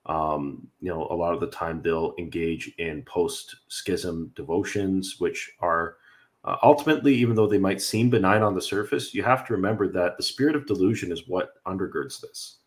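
A man's voice: low voice, moderate pace. A young Canadian man, an Orthodox priest, answering viewer questions in an earnest, explanatory way over a video call from a room at home. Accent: Canadian English. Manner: earnest, explanatory, with frequent fillers and light laughs. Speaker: Orthodox priest hosting a livestream. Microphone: video call webcam.

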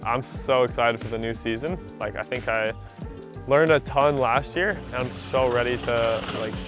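A man's voice: mid-range voice, moderate pace. A young man, an under-23 racer, talking eagerly and casually, often saying "like". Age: young adult. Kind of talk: casual interview. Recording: music bed underneath.

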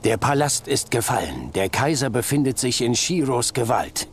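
An actor playing a grave, decisive warrior leader in a fantasy game, speaking firmly. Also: male voice, medium pitch, very animated, moderate pace, a little hiss or hum, natural voice.

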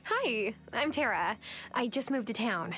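An actress playing a friendly young woman new in town in a video game, casual, polite and gently wry. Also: fairly high pitch, expressive, measured pace, faint music behind.

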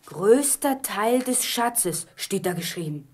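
A woman playing an eager, excitable young boy adventurer in a German radio play. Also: mid-range voice, slow.

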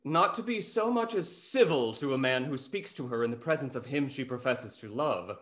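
A young man playing a snarky, gossip-loving gentleman in a period comedy, with a wry theatrical manner. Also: medium pitch, lively intonation, normal speed, lightly acted. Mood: amused. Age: young adult. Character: snarky, gossip-loving male gentleman, rake's best friend. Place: indoor room, video call with earphones.

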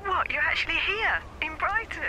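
High-voiced, doubting girlfriend. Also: lively intonation, moderate pace, background noise. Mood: surprised, teasing. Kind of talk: naturalistic radio drama acting.

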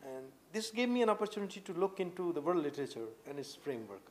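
A man in his late thirties from India, with an Indian accent, answering interview questions earnestly with many halting fillers and restarts. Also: sits high, sing-song, darkish tone. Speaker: poet guest. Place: indoor room with tapestries and a sofa.

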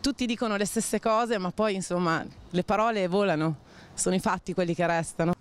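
A woman's voice: mid-range voice, brisk. A woman, answering a street interview outdoors, earnest and emphatic with some hesitant fillers. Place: sunny town square outdoors. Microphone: handheld interviewer mic.

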